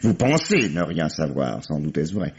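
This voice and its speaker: A low-voiced, courteous police commissioner. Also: male voice, big pitch swings, a little mellow, full character voice. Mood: probing. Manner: formal, polite, measured questioning. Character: courteous, patient, probing male police commissioner. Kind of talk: old French radio mystery acting. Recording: dull, noisy old radio drama recording.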